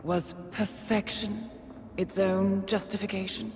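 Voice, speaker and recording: An actress playing a cold, calculating intelligence handler in a video game, speaking in a measured, deliberate way. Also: female voice, medium pitch, flat delivery, vocal fry, darkish tone, big-room sound, slight background noise. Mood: cold taunting. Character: cold, calculating female handler betraying her assassin. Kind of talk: dramatic video game cutscene acting.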